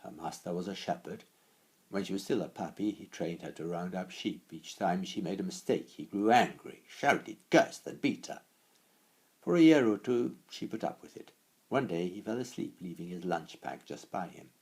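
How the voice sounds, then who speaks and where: A man narrating a story expressively and deliberately, with dramatic pauses, as an author reading his own work. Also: sits low, lively intonation, a little mellow, slightly rough.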